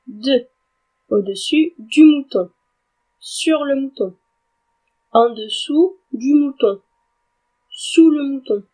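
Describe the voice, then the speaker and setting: A woman teaching a grammar lesson in a clear, deliberate way, spelling words out letter by letter. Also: on the high side, darkish tone. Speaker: French teacher. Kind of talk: slow scripted grammar lesson.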